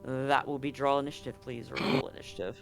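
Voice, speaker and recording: A man narrating as game master in a tabletop game, relaxed and full of fillers. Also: mid-range voice, quick pace, over loud music.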